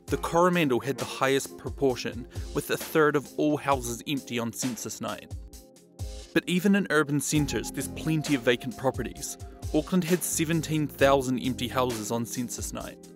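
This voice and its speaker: A man narrating a news documentary in an even, scripted, informative delivery. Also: mid-range voice. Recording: voice-over with music.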